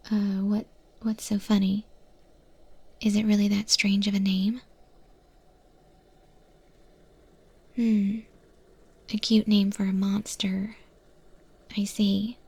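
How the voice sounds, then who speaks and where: A woman voicing a wry, easygoing eldritch monster chef in a breathy, whispered ASMR roleplay, speaking with hesitant, thoughtful pauses. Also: medium pitch, dark tone, heavily acted. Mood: bashful. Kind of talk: ASMR roleplay, softly spoken to the listener.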